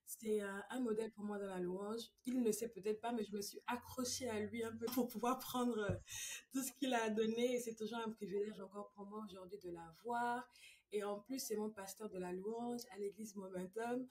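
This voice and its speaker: A woman with a mid-pitched voice hosts a podcast interview. She talks casually and effusively, sounding full of admiration for her guest. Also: steady tone, moderate pace, darkish tone. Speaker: podcast host.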